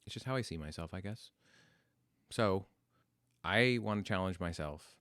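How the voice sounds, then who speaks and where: An American man in his forties telling his life story on a podcast in a reflective, unscripted way, with halting, introspective phrasing. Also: low-pitched, animated, fairly bright tone, smooth, no echo, studio quality. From United States. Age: 40s.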